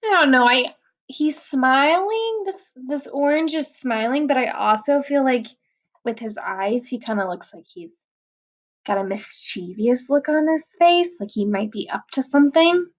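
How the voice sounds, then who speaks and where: A woman reading a picture book aloud to children, explaining the pages in a gentle, patient way. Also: high voice, expressive, measured pace, dull audio.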